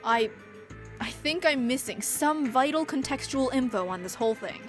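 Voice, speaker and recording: A woman's voice: high-pitched, lively intonation, moderate pace. A woman voicing a timid, flustered young caretaker who stammers, in a fan dub of a game. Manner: hesitant and stammering. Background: faint music.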